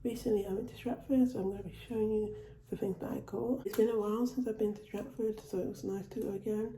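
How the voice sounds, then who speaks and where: Woman doing a haul vid at home, really dark mellow voice, still getting over a cold, bit echoey. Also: medium pitch, steady tone, fast talker, a little hiss or hum. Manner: chatty, repeats and restarts phrases. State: recovering from a cold. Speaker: vlogger. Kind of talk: casual haul video. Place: at home, indoors.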